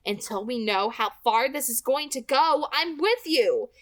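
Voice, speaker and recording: A woman's voice: sits high, expressive, unhurried, pitched above the performer's own voice. A woman voicing a confident, combative young female vampire in an audiobook, blunt and assured. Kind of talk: dramatic audiobook character reading.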